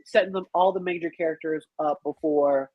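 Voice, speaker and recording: A podcast panelist with a husky voice, heard over slightly muffled, lo-fi call audio. The delivery is casual and animated, acting out reactions while explaining things in a very dry-sounding space. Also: medium pitch, fairly quick, no room sound. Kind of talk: casual chat, film review podcast. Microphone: online group call.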